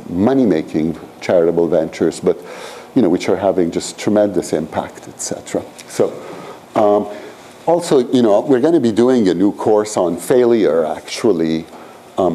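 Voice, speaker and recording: Man, 60s, from Iran, professor type. Low, warm voice; loose, filler-laden lecture delivery. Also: slight background noise. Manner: rambling, filler-heavy, frequent "you know" and "frankly". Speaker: professor leading a seminar. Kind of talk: conversational seminar lecture. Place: lecture room at a graduate school.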